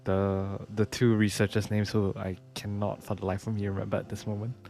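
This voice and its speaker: A man's voice: lowish voice, level tone, fairly quick, vocal fry, over music. A man giving casual, muttered gameplay commentary as a streamer, with sighs and swearing. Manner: muttering, sweary, sighing reactions. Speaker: game streamer.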